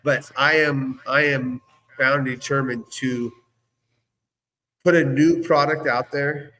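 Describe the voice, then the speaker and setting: A man's voice: medium pitch, steady tone, slow, bright, forceful tone, rough recording. A man in casual conversation, speaking earnestly as a co-host and often repeating and rephrasing himself. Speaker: business owner and guest co-host.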